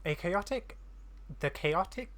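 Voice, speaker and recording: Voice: medium pitch, lively intonation, slow, fairly bright tone. A gaming streamer giving casual playthrough commentary and reading dialogue aloud, self-deprecating and stumbling over words.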